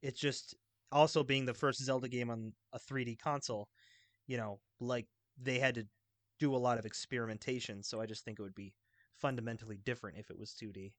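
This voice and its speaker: A man with an average-pitched voice discusses video games as a podcast panelist. His explanations ramble along informally, recorded dry with very little room sound. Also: fairly even intonation, a little fast, fairly bright tone, dry recording. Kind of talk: casual video game discussion.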